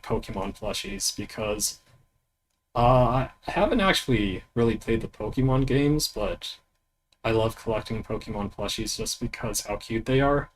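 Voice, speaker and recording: A young man streaming a casual self-introduction as a VTuber, rambling and halting with fillers, laid-back and swearing now and then. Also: lowish voice, slight background noise, rough recording.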